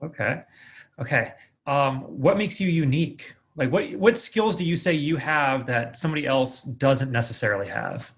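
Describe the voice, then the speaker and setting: A man's voice: medium pitch, lively intonation, moderate pace. A man hosting a podcast, chatting and asking questions in a casual interview style. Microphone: online video call recording.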